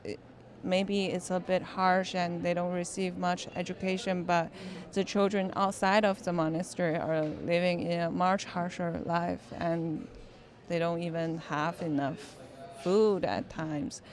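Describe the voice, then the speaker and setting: Slow, low-pitched woman director. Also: level tone. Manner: thoughtful, halting, with restarts and "like". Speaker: film director. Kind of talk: interview answers.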